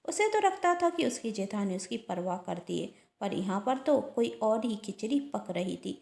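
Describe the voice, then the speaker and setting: A woman's voice: mid-range voice, very animated, moderate pace, vocal fry, a little mellow. A woman narrating a scripted moral family story. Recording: roomy echo.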